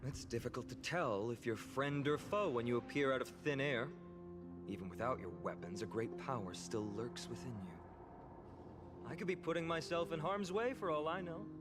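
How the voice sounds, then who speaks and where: An actor playing a cautious, earnest young male warrior in a video game, speaking in a polite, measured way. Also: medium pitch, close to an everyday voice. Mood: wary, firm. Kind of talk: scripted video game character acting. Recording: game music behind the voice. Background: game music.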